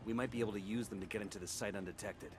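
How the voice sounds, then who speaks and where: An actor playing a businesslike special forces soldier in a tactical video game. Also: male voice, lowish voice, steady tone, rapid-fire, soft background music, lightly acted. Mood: focused, terse.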